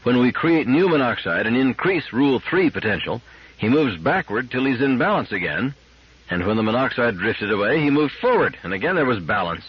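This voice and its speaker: A man voicing an exasperated, urgent male space engineer in an audiobook, with a husky, emphatic delivery. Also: medium pitch, very animated, fairly quick, lo-fi sound, a little hiss or hum, lightly acted. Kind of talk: audiobook character dialogue.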